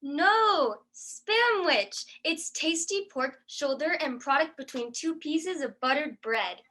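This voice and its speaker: A woman playing an eager, wholesome grandchild in a mock old-time radio advert, perky and deliberate. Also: high voice, expressive, sharp tone, heavily acted, poor audio. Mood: eager, cheery.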